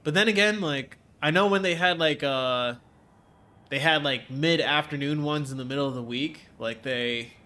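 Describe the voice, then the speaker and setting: A man's voice: on the high side, normal speed, bright, forceful tone. A young man with a stuffed-up nose chatting casually with viewers while he streams a racing game from home, reading out chat and joking along. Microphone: desk mic, webcam framing.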